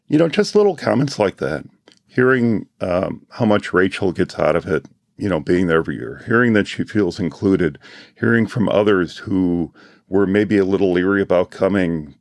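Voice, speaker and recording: A man who organizes a conference, hoarse and losing his voice, speaking in a heartfelt, reflective way and clearing his throat often. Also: low-pitched, a little mellow, no room sound, studio quality. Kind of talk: casual panel chat.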